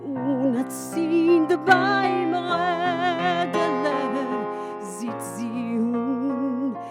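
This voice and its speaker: A woman singing a slow, plaintive song to piano accompaniment. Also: high voice. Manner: sung slowly and plaintively. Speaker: singer.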